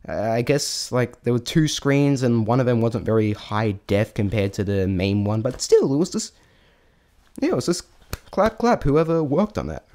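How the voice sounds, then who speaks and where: A man's voice: medium pitch, sing-song, moderate pace, darkish tone. A man giving an unscripted spoken review in a rambling, hesitant way, often stammering and breaking off mid-thought. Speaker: amateur reviewer sharing opinions.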